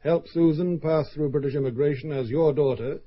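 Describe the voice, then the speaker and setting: A man's voice: on the high side, a little fast, natural voice. An actor playing a coolly threatening male Soviet agent in a radio drama. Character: smooth menacing Soviet agent, male, cold. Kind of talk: 1970s radio drama acting. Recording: dull lo-fi old radio recording.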